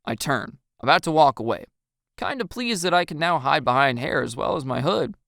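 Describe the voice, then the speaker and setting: A woman narrator with a very low register and lively, expressive intonation. She reads scripted first-person fiction close to the mic in a dry space, with faint music behind. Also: moderate pace, vocal fry, no echo.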